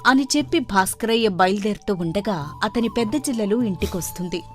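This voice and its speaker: A woman narrates a scripted tale. Her voice sits at a middle pitch and moves up and down in a lively way, with soft music underneath. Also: brisk.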